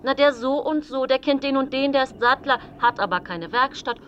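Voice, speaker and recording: A man's voice: very high-pitched, moderate pace, fairly bright tone, muffled recording, a little hiss or hum. A man playing an old, money-minded, self-pitying widowed father in a radio drama. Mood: pushy. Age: older.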